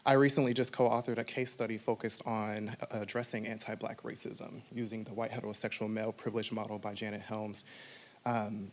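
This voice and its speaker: A man's voice: mid-range voice, gravelly. A man delivering a prepared acceptance speech as an award recipient.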